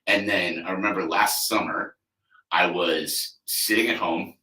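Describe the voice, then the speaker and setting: Deep-voiced man in an echoey room. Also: steady tone, unhurried, bright, forceful tone. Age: 30s. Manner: conversational, loose, frequent "you know" and "like" fillers, occasional swearing. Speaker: athlete coach, podcast host. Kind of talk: casual solo podcast monologue. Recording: echoey room, mic at a distance.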